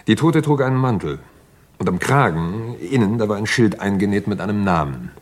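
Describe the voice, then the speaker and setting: Grave police detective, fairly low pitch, vintage radio play acting. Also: male voice, animated, fairly quick.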